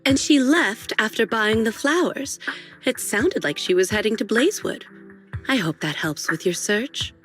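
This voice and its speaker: A woman playing a courteous, helpful flower shopkeeper in a video game, speaking in a polite, gentle, explanatory way. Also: a little high, expressive, normal speed, close to an everyday voice. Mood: friendly, helpful. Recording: roomy sound with clear music behind.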